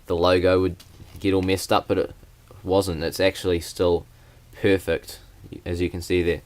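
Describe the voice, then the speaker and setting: A man's voice: low voice, little pitch movement, moderate pace, a little mellow. A young man, talking hesitantly with many fillers in a casual vlog. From New Zealand. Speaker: vlogger.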